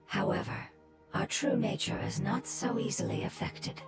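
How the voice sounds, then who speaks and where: A woman voicing a solemn, earnest, ancient nature spirit in an anime game, breathy and formal in delivery. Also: on the high side, normal speed, close to an everyday voice. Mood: serene, grateful. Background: music.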